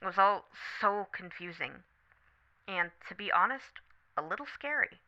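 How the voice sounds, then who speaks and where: Woman acting as supportive best friend in asmr phone roleplay, smooth mid voice, casual n gentle, candid, bit muffled. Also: mid-range voice, expressive, normal speed. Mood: reflective, vulnerable. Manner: casual, gentle, hesitant and thoughtful. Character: supportive best friend, candid, teasing, on a late-night call. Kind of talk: scripted ASMR roleplay, one side of a phone conversation.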